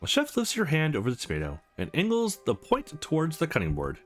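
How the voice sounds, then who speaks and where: A man's voice: a little high, huge pitch swings, brisk. A man narrating a visual novel aloud as a let's play host, reading expressively and sometimes correcting a slip. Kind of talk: expressive read-aloud of game narration and dialogue. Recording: music playing behind the voice.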